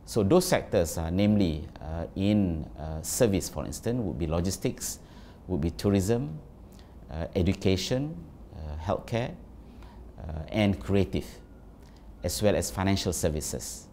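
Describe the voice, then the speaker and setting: A man giving formal, deliberate interview answers as an official, pausing with fillers. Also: low-pitched, expressive, warm tone, slight background noise.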